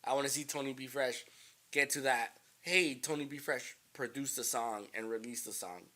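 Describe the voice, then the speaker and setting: A man hosting a casual interview, relaxed and encouraging, with lots of fillers. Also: medium pitch, moderate pace. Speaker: podcast host.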